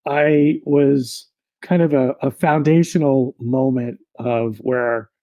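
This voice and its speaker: A man in his thirties, a podcast guest, telling his story in a relaxed, reflective way with many fillers. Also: medium pitch, slow, no room sound, phone-quality sound.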